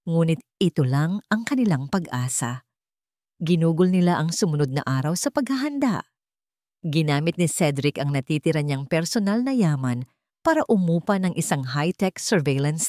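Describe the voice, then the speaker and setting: A woman's voice: deep voice, expressive, moderate pace, a little mellow, no echo, studio quality. A woman narrating a scripted romantic drama as a storyteller.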